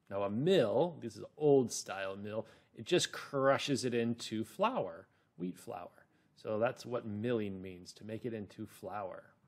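A middle-aged man teaching children through a read-aloud story, deliberate, explanatory and expressive. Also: on the low side. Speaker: reading teacher.

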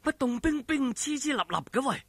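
A man voicing a devoted young swordsman, muttering his inner thoughts in a low tone. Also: expressive, a little fast, fairly bright tone, no echo. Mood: wary.

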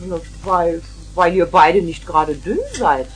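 A woman's voice: on the low side, a little slow, natural voice, low-quality recording. An actress playing a patient, fussing mother who soothes everyone in a 1970s radio play. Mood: coaxing.

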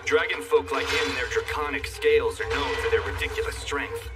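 Casting: male actor for a smug, wry young animated hero. Expressive narration, slightly off-mic over clear music. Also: fairly high pitch, fairly quick, distant mic, natural voice. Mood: wry presenting. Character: cocky sarcastic young male fantasy hero. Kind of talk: animated dub narration and banter.

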